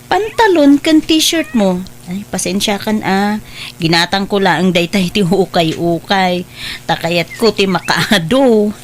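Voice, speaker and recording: A woman playing a kindly, caring mother in an audio drama, gentle and solicitous, with a Filipino accent. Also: medium pitch, expressive, takes it slowly. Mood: caring, motherly.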